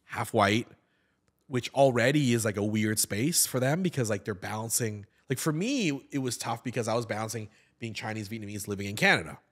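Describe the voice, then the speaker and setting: A man in his thirties, a guest on a podcast, talking casually in a studio with a boom mic, animated and full of restarts. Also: fairly quick, husky. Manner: animated, gesturing, with many repeated words and restarts. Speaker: podcast guest, actor and comedian. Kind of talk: casual interview chat. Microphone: studio mic on a boom stand.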